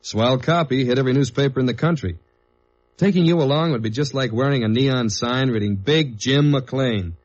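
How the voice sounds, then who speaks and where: An actor playing a dogged American government investigator in a 1950s radio detective drama, dry and understated. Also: male voice, medium pitch, moderate pace, natural voice. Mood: uneasy, placating. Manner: dry, understated, hard-boiled. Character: tall, dogged government investigator narrating his case journal. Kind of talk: 1950s radio detective drama, first-person narration and dialogue. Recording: muffled old radio recording with music.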